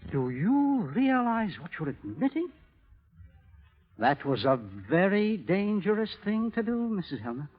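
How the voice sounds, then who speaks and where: A husky male moneylender in a 1930s radio drama, desperate and menacing. He presses his case formally and insinuatingly, his fairly high voice swinging in pitch. The old recording is muffled. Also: very animated, a little slow, heavily acted. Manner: insistent, coolly insinuating, formal.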